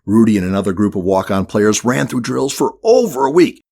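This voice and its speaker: A man's voice: lowish voice, sing-song, brisk, vocal fry, music in the background. A man reading a scripted children's audiobook as the narrator.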